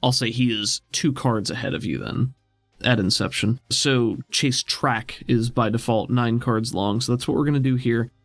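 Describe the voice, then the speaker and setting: A man's voice: mid-range voice, normal speed, no echo. A man running and narrating a tabletop role-playing game as game master.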